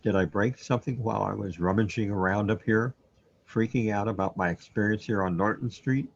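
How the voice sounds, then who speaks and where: A man's voice: lowish voice, fairly quick, vocal fry. An actor playing a troubled, self-doubting man trapped alone in a surreal universe, brooding and questioning in a sci-fi radio drama. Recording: dull, lo-fi audio.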